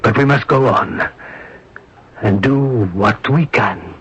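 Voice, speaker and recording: Slow, solemn fatherly priest. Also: on the low side. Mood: solemn consoling. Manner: solemn, measured, consoling. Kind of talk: 1980s radio drama acting. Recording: dull, noisy old radio recording.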